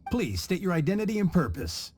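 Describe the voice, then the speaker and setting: A rule-bound city guard who questions strangers formally and falters when the rules conflict. His mid-pitched voice is mellow and varied, with faint game music behind. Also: big pitch swings, a little fast. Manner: formal, by-the-book, hesitant when unsure. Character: cautious, rule-bound male gate guard. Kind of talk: video game voice acting. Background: soft game music.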